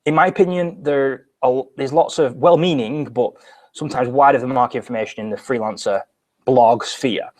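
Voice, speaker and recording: A young man with a British accent hosts a live online session from a small bright office. He talks energetically and gestures with his hands, often restarting his phrases mid-sentence as he goes. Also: mid-range voice, moderate pace. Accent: British English. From Britain. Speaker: webinar host. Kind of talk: live webinar presentation.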